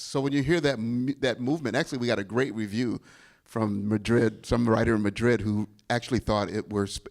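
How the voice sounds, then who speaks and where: A middle-aged man with a gravelly, fry-touched voice talks loosely to a live audience. He restarts sentences often and laughs easily. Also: medium pitch. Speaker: bandleader and composer. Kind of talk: casual talk to a live audience.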